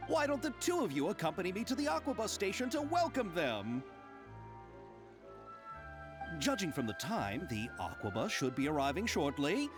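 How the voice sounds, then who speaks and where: A man voicing an excitable, polite young festival organiser in a video game, effusive and chatty. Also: sits high, a little fast, hardly any pauses, music in the background. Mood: earnest, friendly. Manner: effusive, theatrical, explanatory. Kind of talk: video game character acting.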